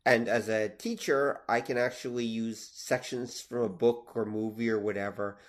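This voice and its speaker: A man's voice: mid-range voice, fairly even intonation, normal speed. A man with a Canadian accent in a casual podcast discussion, explaining with examples and making his points emphatically. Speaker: podcast co-host; teacher.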